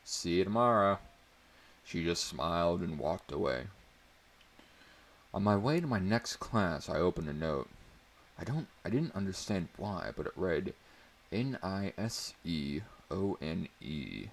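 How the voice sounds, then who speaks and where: A man reading a story aloud as narrator in a dramatic audiobook style. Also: low-pitched.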